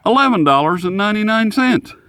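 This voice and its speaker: A man's voice: sits high, animated, fast, fairly bright tone. A man chats through a casual product review with lots of rise and fall in his voice. His manner is folksy and jokey, and he rambles along unscripted. There is faint noise and some clicking in the recording. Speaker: host of radio review videos.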